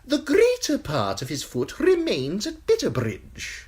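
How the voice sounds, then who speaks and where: A woman voicing a sly, simpering male eunuch courtier with a high, tittering voice, in an audiobook. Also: huge pitch swings, unhurried, darkish tone, husky, full character voice. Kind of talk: audiobook character voice.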